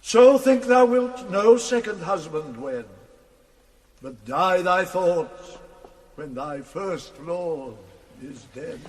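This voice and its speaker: A man's voice: high voice, lively intonation, slow. An actor playing a grave, weary, fond king, delivering formal, declamatory verse in a classical audio drama. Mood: solemn, reflective. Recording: long hall reverb.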